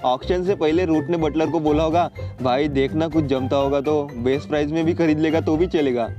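A man's voice: on the high side, steady tone, moderate pace, highs cut off, over music. A man from India doing comic commentary on memes, often laughing as he reads captions aloud. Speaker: meme reaction host.

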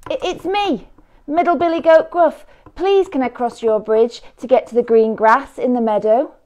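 A woman's voice: sits high, normal speed, darkish tone, dull audio, voice pushed up, natural voice. A woman voicing a nervous middle-sized billy goat in a children's story, stammering and pleading.

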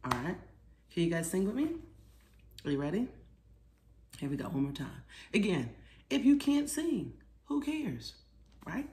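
A woman's voice: on the low side, very animated, measured pace, pauses often, a little mellow. An American woman in her forties talking casually to children as a storytime presenter, encouraging them and asking questions. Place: small living room at home, indoors. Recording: echoey room with clear background noise.

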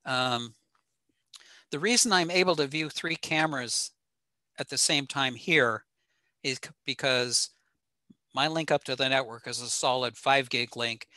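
Bright-voiced man giving a technical presentation. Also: medium pitch, no echo. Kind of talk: informal slide presentation on technical gear. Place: indoor home room, over a video call.

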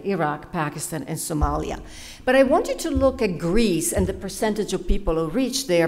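Middle-aged woman panelist, halting and emphatic, lowish voice, podium mic. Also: darkish tone. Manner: hesitant, many fillers and restarts, emphatic on key words. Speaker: panel speaker, faculty member. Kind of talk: academic panel talk with slides. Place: lecture hall at a podium. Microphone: podium microphone.

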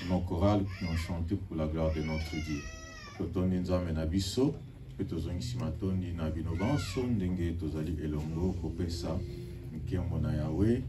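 A man giving formal church announcements and leading call-and-response during a service. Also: deep voice. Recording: distant mic with faint noise.

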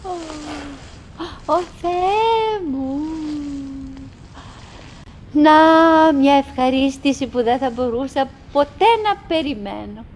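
An actress playing a wistful, chattering middle-aged wife in a theatrical radio monologue, in broken, repetitive phrases with dramatic pauses. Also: female voice, sits high, darkish tone, a little hiss or hum, lightly acted. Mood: emotional, wistful. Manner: fragmented, repetitive, dramatic pauses with sudden swells.

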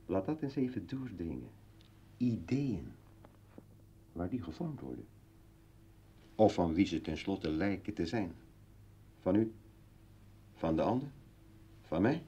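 A brooding old philosopher in a radio play, bitterly ironic and declamatory. He speaks slowly, with halting pauses, in a dark, husky voice on a dull vintage recording. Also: sits low, heavily acted. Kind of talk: theatrical radio play monologue.

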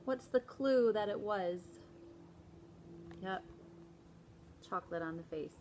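A woman in her forties, a teacher, reading a picture book aloud from indoors and pausing to explain the pages, with a slow, emphatic parent's voice for the scolding lines. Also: mid-range voice, animated, darkish tone, slight background noise. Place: indoors, small room before a plain wall.

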